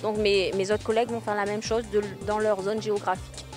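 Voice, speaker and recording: A woman's voice: mid-range voice, flat delivery, fairly quick, over loud music. A woman who works for a training school, speaking in a news interview outdoors in a housing estate courtyard, hesitant, with many fillers and restarts. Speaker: staff member of a training school.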